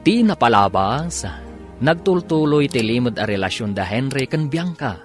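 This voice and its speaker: A man narrating an Ilocano radio drama as its announcer, in a theatrical scripted style with drawn-out titles. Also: mid-range voice, sing-song, soft background music.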